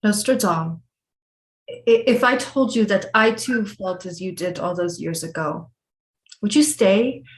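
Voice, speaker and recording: A woman's voice: mid-range voice, lively intonation, a little slow, natural voice. Imperious queen played by a woman, roomy echo. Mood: wistful. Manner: haughty, formal, archaic diction. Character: imperious, scheming, lovelorn French Renaissance queen. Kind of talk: theatrical audio drama acting. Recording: roomy echo with music behind.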